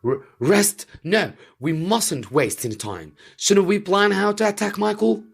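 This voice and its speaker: A man voicing an anxious, excitable and loyal royal aide in a storybook reading, with urgent, pleading exclamations. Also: sits high, unhurried, creaky, breathy edge, voice pushed up, heavily acted. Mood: worried.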